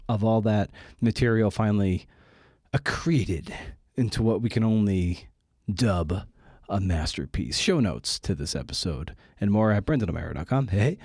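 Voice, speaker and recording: A male podcast host with a low, dark, relaxed voice. He talks casually and informally, with slangy turns of phrase. The sound is very dry, with no room on it. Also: no room sound. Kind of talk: casual podcast intro and interview chat.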